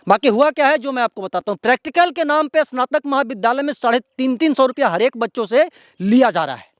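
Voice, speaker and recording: Very high-pitched Bihari man, indignant. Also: animated, quick pace, dry recording, studio quality. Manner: indignant, emphatic, repetitive. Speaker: local news presenter. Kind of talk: outspoken news commentary to viewers.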